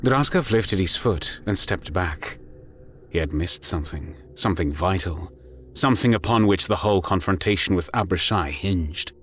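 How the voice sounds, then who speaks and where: A man narrating a dramatic scripted story in a grave, deliberate manner. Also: low-pitched.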